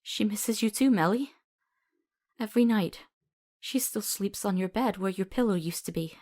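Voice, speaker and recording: Actress as compassionate young healer, visual novel drama; mid-range voice, gentle, reassuring, soothing delivery. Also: female voice, mid-range voice, normal speed, lightly acted. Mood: tender, soothing. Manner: tender, soothing, coaxing. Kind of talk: visual novel voice acting, storytelling tone.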